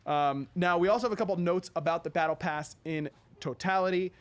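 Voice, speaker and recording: A man's voice: high-pitched, fairly quick. A man hosting a casual game update presentation with an upbeat, enthusiastic manner. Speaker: host of a game update show. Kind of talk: casual live presentation and commentary.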